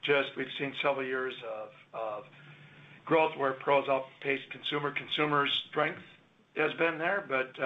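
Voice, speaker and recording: A man, a company executive, answering analyst questions in a measured, explanatory way on an earnings call. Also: medium pitch. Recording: phone-line audio.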